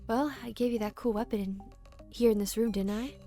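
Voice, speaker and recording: A woman's voice: on the high side, steady tone, brisk, dark tone, faint music behind, close to an everyday voice. A woman voicing a smug, flippant male game master in a painting, teasing in slangy drawl. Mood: gleefully taunting.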